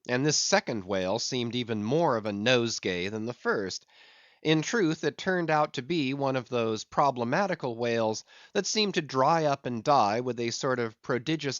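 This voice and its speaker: A man narrates a classic literary audiobook in a medium-pitched voice with very mobile intonation. His phrasing is deliberate and a little theatrical, suited to ornate prose. The recording is dry and close, though somewhat dull and lo-fi. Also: a bit bright, no echo. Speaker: audiobook reader.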